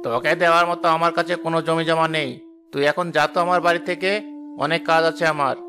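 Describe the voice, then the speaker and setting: Man as a creaky, selfish village brother. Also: a little high, fairly even intonation, fast talker, sharp tone. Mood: dismissive. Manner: curt, dismissive. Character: selfish, land-grabbing elder brother, cold and dismissive. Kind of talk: moral-story cartoon acting. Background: music.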